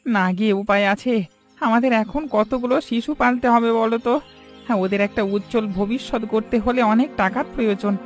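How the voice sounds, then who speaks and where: An older village boatman played by a man in a cartoon drama, stubborn but remorseful. The delivery is earnest and rustic, with clear music playing behind. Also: very high voice, steady tone, brisk. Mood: resolved.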